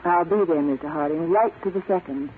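A woman's voice: low-pitched, fairly quick, close to an everyday voice. A woman playing a brave, earnest and polite young refugee in a 1940s radio drama. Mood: earnest, resolute. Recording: tinny, noisy vintage radio recording.

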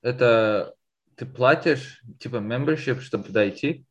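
A man's voice: medium pitch, moderate pace. Muffled casual male podcast co-host. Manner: mixes English words into his speech. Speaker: podcast co-host asking questions. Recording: dull, lo-fi sound.

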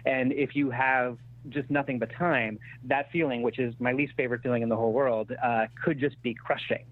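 Screenwriter guest, male, thirties. Halting, self-deprecating, conversational; narrow telephone-band audio. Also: mid-range voice, steady tone. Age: 30s. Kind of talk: casual interview chat. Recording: thin phone-like radio-style sound.

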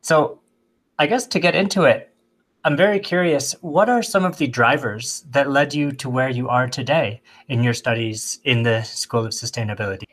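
A man's voice: medium pitch, moderate pace, a bit bright. A man hosting a podcast, giving a scripted welcome and asking eager questions. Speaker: podcast host. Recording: faint music behind.

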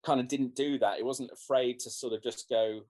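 A man at an ordinary middle pitch talks through his thoughts as a musician being interviewed. He rambles, restarting sentences and leaning on fillers as he goes. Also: steady tone, moderate pace, a little mellow. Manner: rambling, many restarts and "you know"s. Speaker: guest musician. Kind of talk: casual interview chat.

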